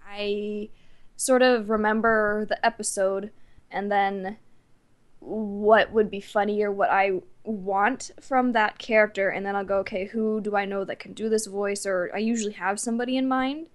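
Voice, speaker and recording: A nineteen-year-old woman chatting casually as an interview guest, with a giggly, animated way of telling stories. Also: medium pitch, moderate pace. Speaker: guest, amateur voice actor.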